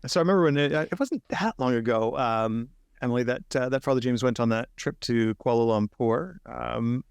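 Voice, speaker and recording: A man's voice: mid-range voice, brisk, vocal fry, smooth, no echo. A man co-hosting a podcast, talking casually over a video call in rambling sentences with many restarts. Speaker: podcast co-host.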